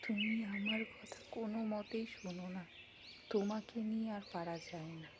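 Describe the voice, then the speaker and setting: A woman's voice: mid-range voice, steady tone, moderate pace, heavy background noise, close to an everyday voice. A woman playing an anxious, doting village grandmother who fusses and scolds in a folk-tale cartoon. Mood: worried scolding.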